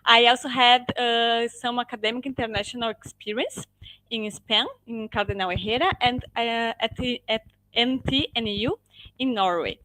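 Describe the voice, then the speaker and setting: A Brazilian woman with a fairly high voice and a Brazilian Portuguese accent presents to an online audience. She hesitates often, fills gaps with "uh" and rephrases mid-sentence. Her voice sounds close and dry over a video call. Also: steady tone, normal speed, pushed and bright, no room sound, poor audio. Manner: hesitant, many fillers and restarts, tag "okay?". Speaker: guest speaker, lean project manager and professor. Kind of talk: webinar presentation.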